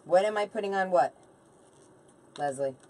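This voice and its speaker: A woman's voice: lowish voice, moderate pace, darkish tone, distant mic, a little hiss or hum. A woman in her late forties chatting casually and informally as she hosts a craft livestream, bantering with viewers and a helper. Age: late 40s. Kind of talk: casual live chat while crafting.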